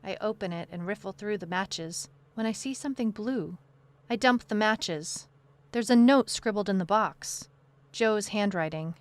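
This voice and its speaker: A woman's voice: mid-range voice, measured pace, dry recording, lightly acted. A woman playing a determined detective who narrates her own case in a scripted audio drama. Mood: brooding, reflective. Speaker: lead narrator.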